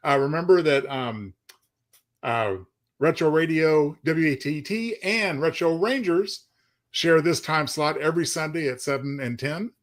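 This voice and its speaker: A man's voice: fairly high pitch, a little slow. A man co-hosting a casual livestream, genial and playful as he talks. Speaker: show co-host.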